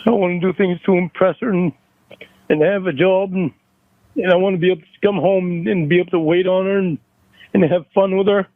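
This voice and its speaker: An upset man with a slightly high voice talks haltingly on a private call, close to tears and full of self-pity. The audio is dull and narrow, like a phone recording. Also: fairly even intonation, dry recording. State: upset, tearful. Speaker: caller. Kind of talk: emotional private phone conversation. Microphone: headset on a recorded call.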